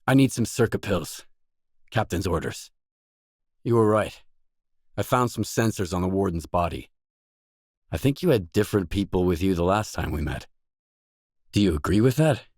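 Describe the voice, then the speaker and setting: An actor voicing a gruff, guarded lone stalker for hire in a video game, speaking in terse, dry, self-assured lines. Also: male voice, low voice, lively intonation, moderate pace, pauses often, darkish tone, dry recording. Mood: wary.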